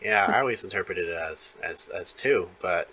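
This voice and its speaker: A man's voice: sits low, moderate pace, bright, forceful tone, slightly rough, poor audio. A man interviewing in a casual, chatty and enthusiastic fan-to-fan way. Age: adult parent of young children.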